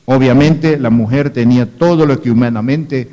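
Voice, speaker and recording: A man's voice: medium pitch, normal speed, mellow, dull audio. A man preaching as a pastor, teaching in a sermon, reading scripture aloud and adding rhetorical asides. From Ecuador. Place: church hall, live stream. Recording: reverberant church hall.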